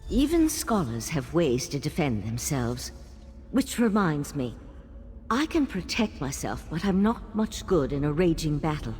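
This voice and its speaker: Earnest elven mage, expressive, echoing. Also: female voice, low-pitched, unhurried, soft background music. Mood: determined. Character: earnest female elven mage, scholarly and cautious. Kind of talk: video game character dialogue.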